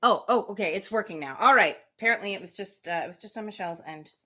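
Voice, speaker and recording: A woman reading a classic novel aloud on a livestream, with expressive phrasing and casual spoken asides. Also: mid-range voice, quick pace. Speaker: livestream book reader.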